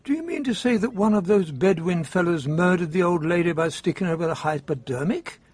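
High, husky, blustering colonel. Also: male voice, expressive, brisk, darkish tone, no echo. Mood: incredulous. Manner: indignant, questioning, clipped. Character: blustering, incredulous male colonel. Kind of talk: radio drama acting.

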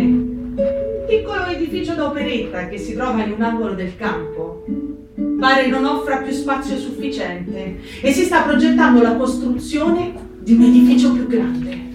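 An actress playing a self-doubting, wry woman writing from a wartime camp, in a dramatic literary reading. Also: fairly high pitch. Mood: wry, sombre. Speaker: stage actress. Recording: very echoey, distant, music behind. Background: guitar music.

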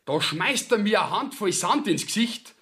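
An actor playing a rough, indignant young peasant in a Bavarian dialect radio play, telling his tale in an earthy, agitated way. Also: high-pitched, expressive, moderate pace, pushed and bright. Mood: aggrieved.

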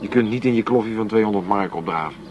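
An actor in a radio drama playing a cocky, short-tempered underworld go-between with a blunt, streetwise way of talking. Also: male voice, fairly low pitch, fast talker, highs cut off, noisy background, natural voice. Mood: frank, conspiratorial. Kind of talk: Dutch radio drama dialogue.

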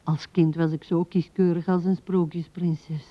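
An actress playing an old widow who muses tenderly and haltingly to her late husband in a radio drama, often dropping to a hush. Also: on the low side, level tone, dry recording. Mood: reminiscing. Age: older. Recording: dull 1970s radio recording.

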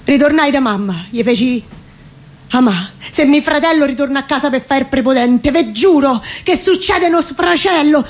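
A woman with a Roman accent playing a distraught working-class man confessing in dramatic dialect verse, pleading and halting. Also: high voice, close to an everyday voice. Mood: anguished. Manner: pleading, halting, emotive delivery. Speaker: reciting actress. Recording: live radio performance with background noise.

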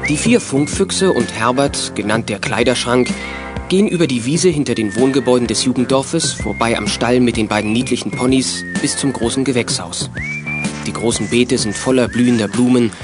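A man's voice: mid-range voice, normal speed, music in the background, lightly acted. A man narrating a children's detective radio play as its storyteller. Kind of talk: scripted narration.

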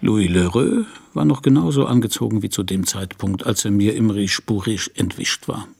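A man playing a world-weary private detective who narrates his case in a hardboiled radio crime play, dry and laconic, with a husky, gravelly voice. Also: fairly low pitch, normal speed, a little mellow. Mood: wry, brooding. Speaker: narrator and lead actor.

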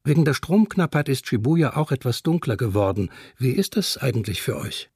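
A man's voice: mid-range voice, very animated, brisk, darkish tone, breathy edge, dry recording, clean studio sound. A man reading a scripted, reflective chronicler's account of life after a disaster for a radio documentary. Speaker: reader of a witness account.

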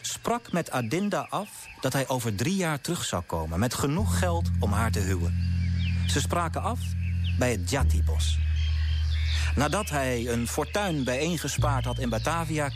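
An actor playing an earnest young man who tells a sorrowful tale in a measured radio play manner. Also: mid-range voice.

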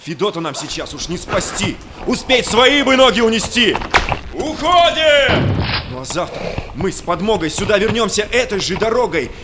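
An actor playing an alarmed, cautious Cossack fighter, declaiming rhymed lines theatrically in an audio play. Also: male voice, high-pitched, big pitch swings, normal speed, pauses often, background noise. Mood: panicked, shouting. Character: alarmed, cautious Cossack comrade under attack.

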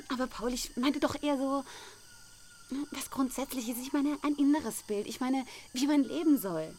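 A woman's voice: sits high, measured pace, long pauses, dark tone, a little hiss or hum. An actress playing a headstrong, idealistic young intellectual woman in a radio play, speaking earnestly and emphatically. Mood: amused, musing. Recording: outdoor ambience with chirping insects.

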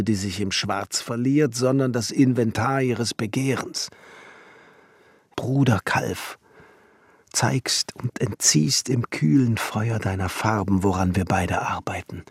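Brooding radio-play thinker: husky, unhurried, hushed and reverent, very dry close sound. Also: male voice, lowish voice, no room sound. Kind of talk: poetic radio drama monologue.

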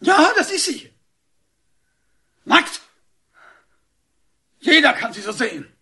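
A man's voice: extremely high pitch, very animated, takes it slowly, exaggerated voice. An actor playing an enraged, domineering father in a radio drama, hectoring and accusing. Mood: bitter, accusing. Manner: accusing, hectoring, shouting outbursts. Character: enraged, domineering father, rigid provincial moralist. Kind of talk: German radio drama acting.

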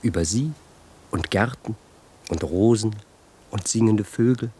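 A man playing a languid, witty, poetic young gentleman in a German radio play. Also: fairly low pitch, a little slow, slightly rough. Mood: dreamy.

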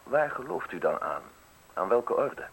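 An actor in a radio play playing a polite, insinuating state official with a formal, measured way of speaking. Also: male voice, medium pitch, sing-song, creaky, a bit bright, slightly rough, heavily acted. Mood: insistent, measured. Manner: formal, measured, courteous, deliberate phrasing. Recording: hissy, noisy old radio recording.

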